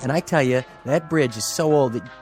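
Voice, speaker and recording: An actor playing a stubborn, plain-spoken bus driver in a radio play, folksy and blunt. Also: male voice, mid-range voice, a little fast, darkish tone, natural voice. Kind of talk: dramatic radio play acting. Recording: radio play with music underneath.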